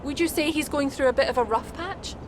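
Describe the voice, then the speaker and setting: An actress playing a probing trackside TV reporter in a game cutscene, polished and direct. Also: female voice, high voice, fairly quick, noisy background, close to an everyday voice. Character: brisk, probing female TV pit-lane reporter. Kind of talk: interview in video game cutscene.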